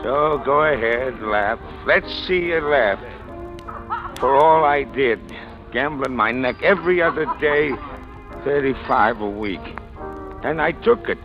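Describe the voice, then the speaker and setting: Tired sad-sack gangster sidekick, real slow, slangy, muffled old radio with music under it. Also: male voice, medium pitch, lively intonation. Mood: rueful, bitter. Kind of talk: 1940s-50s radio noir acting.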